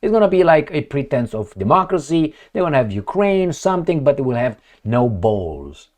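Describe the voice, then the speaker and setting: Man with animated, combative commentary delivery. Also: mid-range voice, moderate pace. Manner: blunt, combative, sarcastic, swearing, rhetorical questions. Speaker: political commentator. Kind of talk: opinionated news commentary, reading reports aloud and reacting.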